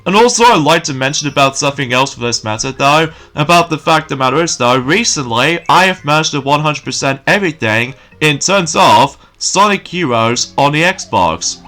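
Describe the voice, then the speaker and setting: A man giving rambling, casual let's play commentary, his voice slightly croaky from waking early. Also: mid-range voice, bright, forceful tone. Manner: rambling, repeats filler phrases.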